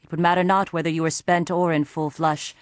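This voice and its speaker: A woman voicing a young female elf who speaks formally and gravely in a game cutscene. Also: on the low side, flat delivery, dry recording, clean studio sound, lightly acted. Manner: formal, measured, earnest.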